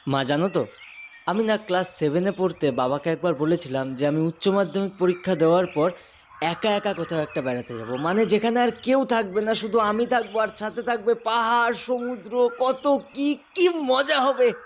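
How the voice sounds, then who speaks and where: Man acting high-voiced stubborn teenage son. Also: normal speed, a little hiss or hum, lightly acted. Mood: eager. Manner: pleading, insistent. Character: eager, stubborn teenage Bengali son craving independence. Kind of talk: audio story drama acting.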